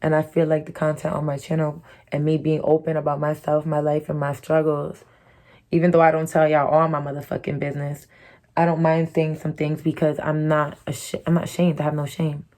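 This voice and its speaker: A young American woman from Connecticut talks straight to her viewers in a loose storytime. Her voice sits slightly low, with her own hard-to-place accent. She is blunt and profane, and her talk is strung together with "like" and "you know". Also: completely flat delivery, fairly quick, darkish tone. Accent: her own distinctive American accent. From Connecticut, USA. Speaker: YouTuber. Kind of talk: candid storytime monologue.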